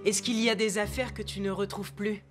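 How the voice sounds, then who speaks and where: A woman's voice: medium pitch, steady tone, brisk, music in the background, close to an everyday voice. A woman playing a level-headed, questioning teenage girl in a French cartoon dub. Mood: probing.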